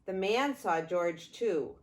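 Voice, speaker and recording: Slow, lively older woman reading a storybook. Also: fairly low pitch. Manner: expressive, with drawn-out words and pauses. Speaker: grandmother reading a storybook to grandchildren. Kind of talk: read-aloud children's story time.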